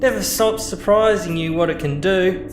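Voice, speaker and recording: A man's voice: high voice, normal speed, lightly acted. A man playing an eager young male student time traveller in an amateur audio drama. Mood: upbeat, curious. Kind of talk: fan audio drama acting. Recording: roomy echo, music underneath.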